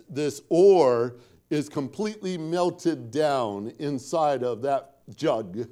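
A man's voice: on the high side, warm tone. A man preaching a sermon with a Northwest Indiana accent, talking in a conversational, folksy storytelling way with dry self-deprecating asides and emphatic repetition of key points. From Northwest Indiana, USA.

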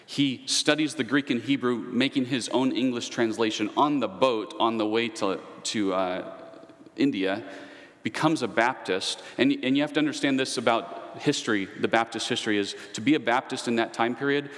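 A man's voice: medium pitch, moderate pace, a bit bright. A man giving a conversational history lecture. He wanders into asides and personal anecdotes as he teaches. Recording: long reverb, echoey hall.

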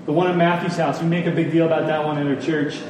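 A man with a fairly high voice delivers an earnest sermon, leaning on key phrases and pausing deliberately. He is recorded at a distance in a very reverberant room, with faint noise underneath. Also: little pitch movement. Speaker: preacher. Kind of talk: sermon to a congregation.

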